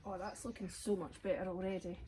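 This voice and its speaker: A woman, her voice raspy today, chatting casually as she works in her outdoor garden with birdsong around her. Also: medium pitch, steady tone, fairly quick, heavy background noise. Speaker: gardening vlogger. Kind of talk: casual chat while gardening.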